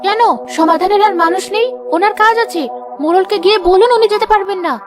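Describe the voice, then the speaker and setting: Very shrill, scolding cartoon village wife. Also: female voice, fast, music in the background. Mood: scolding, annoyed. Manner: sharp-tongued, nagging. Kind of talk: cartoon voice acting.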